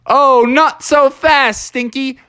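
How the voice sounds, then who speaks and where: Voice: high-pitched, fairly even intonation, slow pace, bright, forceful tone, no echo, pitched above the performer's own voice, full character voice. A nervous underdog bear, voiced by a storyteller close to their own voice, fretting and whining. It is part of an improvised kids' story. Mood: confident. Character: worried underdog bear, checkers player.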